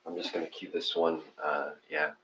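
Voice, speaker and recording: A man casually giving step-by-step guided meditation instructions in an informal, gently encouraging way. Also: very deep voice, little pitch movement, moderate pace, a little hiss or hum, low-quality recording.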